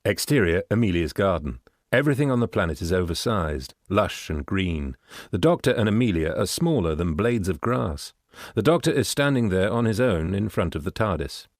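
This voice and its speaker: Male narrator, scripted reading, low pitch, lively intonation, dry recording. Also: normal speed, no room sound. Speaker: narrator reading stage directions.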